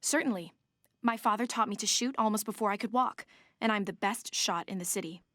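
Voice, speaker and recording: A woman's voice: mid-range voice, fairly quick, bright, forceful tone, dry recording, clean studio sound, natural voice. An actress voicing a haughty, determined young woman of a rich family in a video game, formal and self-assured.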